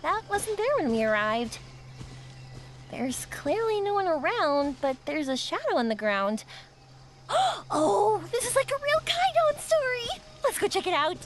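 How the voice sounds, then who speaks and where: A woman voicing a bold, curious, excitable young game character with a breezy, exclamatory, casual way of talking. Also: extremely high pitch, a little slow, a little hiss or hum, heavily acted. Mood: excited wonder. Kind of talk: video game voice acting.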